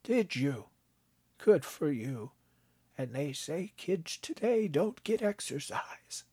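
A man narrating a story, voicing a calm, frail old grandfather who speaks slowly and gently. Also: fairly high pitch, slightly rough, no room sound, pitched above the performer's own voice, heavily acted. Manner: slow, gentle, unbothered.

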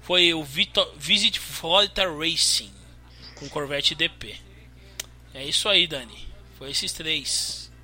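A man's voice: fairly high pitch, slow, sharp tone. A Brazilian man co-hosting a motorsport show, listing race results and bantering casually. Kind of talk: casual podcast chat.

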